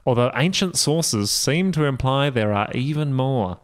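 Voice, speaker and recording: A man's voice: medium pitch, lively intonation, unhurried. Wisecracking man reading a story aloud. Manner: sardonic, interjecting jokes. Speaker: podcast guest reading aloud and commenting.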